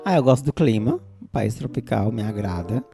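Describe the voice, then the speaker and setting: A young man with a São Paulo accent in relaxed casual chat, drawing out his words and breaking into sudden exclaiming bursts. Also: fairly low pitch, normal speed, vocal fry, faint music behind. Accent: São Paulo Portuguese. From Brazil.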